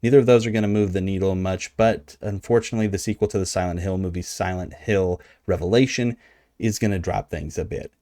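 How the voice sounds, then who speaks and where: A man with a low, mellow American voice gives casual video commentary. He sounds conversational and informal with a wry edge, and the recording is close and dry. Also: steady tone, brisk, dry recording. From United States. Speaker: video host.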